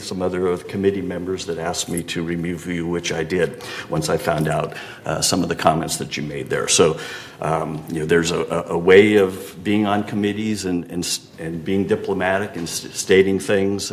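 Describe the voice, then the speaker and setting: An older man chairing a community board meeting, procedural and hesitant, with many "um" and "uh". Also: low-pitched.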